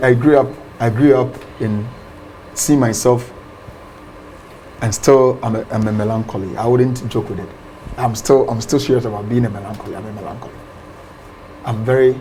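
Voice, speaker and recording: A man, a pastor explaining ideas in an interview, animated and often repeating words. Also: medium pitch, slight background noise, poor audio. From Ghana.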